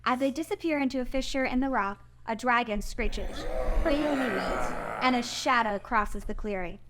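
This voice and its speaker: A woman's voice: on the high side, unhurried, heavy background noise. A woman narrator reading stage directions in a scripted screenplay table read.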